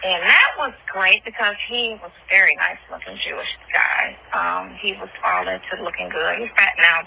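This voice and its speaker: A woman's voice: medium pitch, gravelly, pushed and bright, a little hiss or hum. A woman chatting casually and bluntly in slangy, candid talk on a recorded phone call. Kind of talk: casual intimate phone chat.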